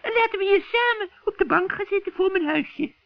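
A man voicing a scheming old witch in a children's radio play, shrill and cackling, with sugary, wheedling friendliness. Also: vocal fry, no echo. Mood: sugary, gleeful. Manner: wheedling, drawn-out coaxing. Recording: dull, dry vintage radio recording.

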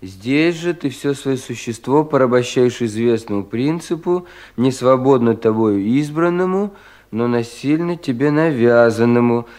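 An actor playing a troubled, self-doubting young provincial official in a 1970s Russian radio play, in an anxious, theatrical manner. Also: male voice, medium pitch, measured pace, close to an everyday voice. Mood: declamatory reading. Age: young adult. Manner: brooding, theatrical, self-questioning, with sudden swells and hushed drops.

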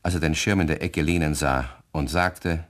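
Ein Mann erzählt als Sprecher eines Kinderhörspiels eine vorbereitete Geschichte. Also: male voice, rumbling low, steady tone, normal speed. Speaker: narrator. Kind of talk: scripted storytelling narration for a children's radio play.

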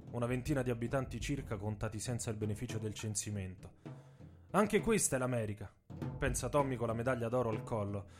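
A man delivers scripted dramatic storytelling for radio theatre in measured short phrases with emphatic stresses. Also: mid-range voice, a little mellow. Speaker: writer and narrator of a radio theatre piece. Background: saxophone and drums music.

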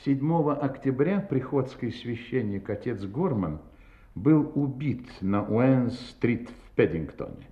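Audio drama inspector, played by a man: polite but insistent, animated pitch, heard in a roomy space. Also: muffled recording, natural voice. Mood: formal, insistent. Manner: polite, deliberate, pointed. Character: courteous, methodical, insistent male police inspector. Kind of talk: audio drama acting, interrogation.

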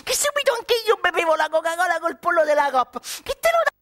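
An actress playing a naive young child in a stage radio play, rambling on in a gleeful, run-on way. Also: female voice, very high voice, sing-song, fast talker, a bit bright, full character voice.

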